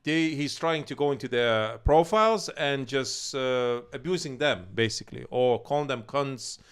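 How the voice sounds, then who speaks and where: A man co-hosting a casual discussion in a halting way, repeating his phrases. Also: medium pitch, clean tone. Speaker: co-host of a video channel.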